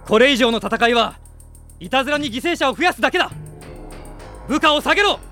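A man's voice: extremely high pitch, animated, fairly quick, bright, forceful tone, exaggerated voice. A male actor playing a noble young prince in a fantasy audio drama, earnest and resolute, speaking formally and with passion. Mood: commanding. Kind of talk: Japanese fantasy radio drama acting. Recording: dramatic music behind the voice.

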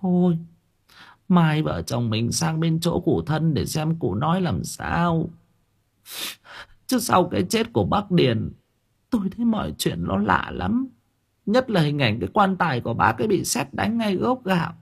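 Man as middle-aged village wife, high pitch, soft, worried, plaintive, horror storytelling. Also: big pitch swings, measured pace, creaky, breathy edge, exaggerated voice. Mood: soothing, tearful. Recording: background music under the voice.

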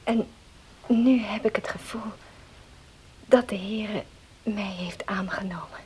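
An actress playing a frail, devout young wife in a radio drama, breathy, solemn and halting. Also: female voice, mid-range voice, a little mellow, poor audio. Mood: weak, devout. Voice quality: breathy, often near whisper. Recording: hissy old radio play recording.

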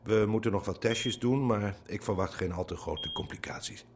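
A man playing a calm, reassuring hospital doctor in a radio drama. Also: fairly low pitch, normal speed, noisy background, lightly acted.

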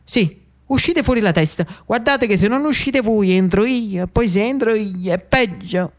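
A man's voice: high-pitched, animated, moderate pace. A man voicing an indignant, preachy priest in comic solo theatre, urgent, repetitive and threatening. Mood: impatient.

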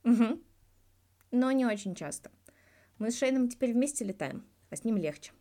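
A woman's voice: on the high side, expressive, moderate pace, warm tone, voice pushed up, lightly acted. A woman voicing a frank, colloquial male hockey star answering in an audiobook interview. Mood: blunt. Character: blunt, reflective male Russian hockey star giving an interview.

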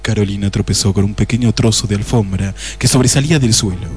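A man's voice: on the low side, fast talker, over music. A man narrating a scripted comic radio drama in a mock-dramatic manner.

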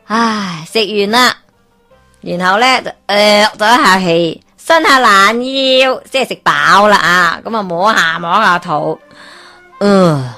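A woman with a Hong Kong Cantonese accent narrating a folk tale as a radio storyteller, lively and expressive. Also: mid-range voice, takes it slowly, soft background music. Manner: lively, expressive, chuckling asides.

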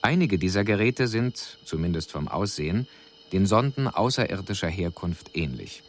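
A very low male voice with wide, lively intonation, an alien envoy giving a formal report. He is measured and analytical, in a radio drama with some background noise. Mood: pointed, factual.

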